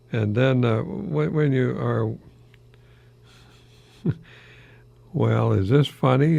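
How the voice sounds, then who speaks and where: An older American man hosting a folksy solo radio talk in a halting, rambling, easily amused manner. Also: mid-range voice, creaky, no echo, dull audio. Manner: chuckles often at his own remarks, halting and rambling. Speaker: radio host, longtime English professor.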